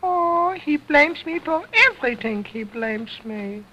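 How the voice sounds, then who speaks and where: A man's voice: very high voice, lively intonation, a little slow, bright, forceful tone, a little hiss or hum, pitched above the performer's own voice, full character voice. A man voicing a sassy boy ventriloquist dummy, lo-fi. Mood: impudent. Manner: fresh-mouthed, broken English, talks back. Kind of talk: vaudeville ventriloquist routine. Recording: dull lo-fi old recording.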